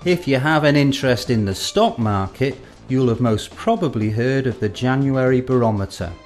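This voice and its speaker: A man presents scripted educational material in a medium-pitched voice. He explains in a measured way and lets phrase endings stretch out. Light guitar music plays underneath him. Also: a little mellow.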